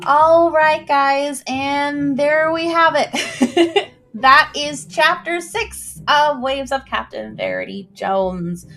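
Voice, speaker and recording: A woman who tells stories and hosts a livestream, speaking in an informal, expressive way straight to her listeners. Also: high-pitched, slow pace, gravelly, soft background music. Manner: chatty, informal, addresses listeners directly. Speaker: storyteller and livestream host. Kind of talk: expressive story reading and casual livestream chat.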